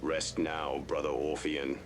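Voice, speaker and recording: A man's voice: rumbling low, level tone, slow pace, slight background noise. A man voicing a cold cyborg tech-priest warrior in an audiobook, hollow, clinical and detached. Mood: flat command.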